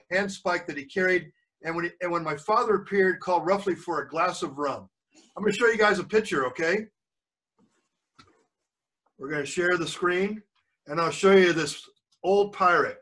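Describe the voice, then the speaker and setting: Older man with a bright, high-pitched voice. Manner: checks in often with listeners, explains words. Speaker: grandfather reading a book to children. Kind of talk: read-aloud storytelling with chatty asides to children. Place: video call with screen sharing.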